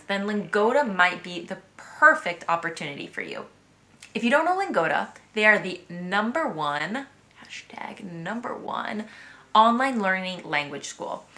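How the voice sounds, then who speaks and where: American woman vlogger in her thirties, bright and playful, chatting with asides to the camera. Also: mid-range voice. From United States. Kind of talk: casual vlog chat to camera.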